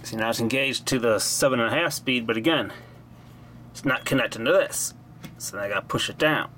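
A man's voice: medium pitch, brisk, sharp tone. A man giving casual, blunt, salty commentary as he does hands-on repair work. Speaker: hobbyist repairer showing a vintage machine. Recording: noisy amateur camera audio, mechanical hum.

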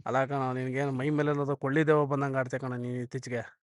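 A man's voice: mid-range voice, little pitch movement, racing, dry recording, clean studio sound. A man with a Karnataka Kannada accent making a prank phone call in casual, colloquial speech, pestering and insistent. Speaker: prank caller, channel host. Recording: clear background noise and clicks.

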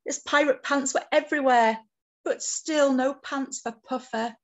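A plucky little octopus tells his storybook tale , acted out by a woman. The voice is fairly high and cheerful, with a sing-song rhyming lilt. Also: steady tone, a little slow. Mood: cheerful storytelling.

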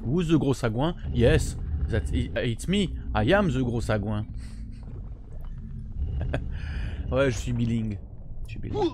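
A man's voice: medium pitch, expressive, unhurried, long pauses. A man, chatting casually with viewers while streaming a retro game from home, sometimes mumbling while eating. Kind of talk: casual gameplay chat with viewers. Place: home streaming room, indoors. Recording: game sound noise behind voice. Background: game gunfire and sound effects.

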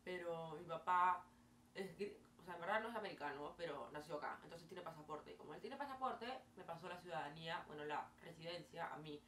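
A woman's voice: fairly low pitch, fairly quick. Peruvian woman chatting in a roomy bedroom. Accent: Peruvian Spanish. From Peru. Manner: chatty, animated hand gestures, frequent fillers. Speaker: vlogger. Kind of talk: casual storytelling to camera. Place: small bedroom, indoors. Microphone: camera mic at a distance. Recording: roomy, distant, faint hiss.

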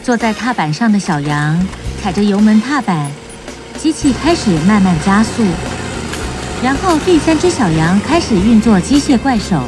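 A woman's voice: mid-range voice, very animated, normal speed. A woman storyteller reading a scripted children's tale as narrator. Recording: music bed under narration. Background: music.

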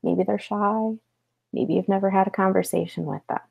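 American woman teacher with a creaky voice. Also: medium pitch, moderate pace, a little mellow. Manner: explanatory, with rhetorical check-in questions. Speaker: elementary school teacher. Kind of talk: read-aloud lesson with explanations to pupils. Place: small home office, indoors.

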